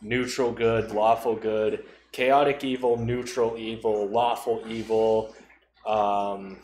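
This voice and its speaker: A man's voice: on the low side, little pitch movement, slow pace, darkish tone. A man chatting casually on a podcast about tabletop role-playing. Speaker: podcast co-speaker. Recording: roomy echo with faint background noise.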